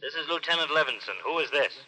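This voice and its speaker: An actor playing a blunt, hard-boiled police lieutenant in an old radio drama, curt and matter-of-fact. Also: male voice, a little high, fast, pushed and bright, poor audio. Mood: alarmed, tense. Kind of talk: 1940s-50s radio drama acting.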